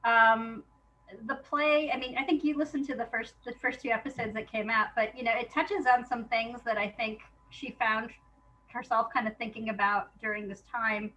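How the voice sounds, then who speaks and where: A woman speaking as an interview guest, a playwright chatting casually about her work and telling it in an animated way, acting out remembered exchanges. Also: on the high side, normal speed, muffled recording.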